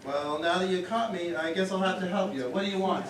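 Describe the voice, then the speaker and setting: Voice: medium pitch, a little fast, natural voice. A performer playing a brash, wisecracking baseball manager with blunt, matter-of-fact comebacks in a stage comedy routine. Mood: gruff, wry. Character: brash, wisecracking, unflappable small-town baseball team manager. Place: small-town theater stage. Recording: distant mic in echoey theater, faint noise.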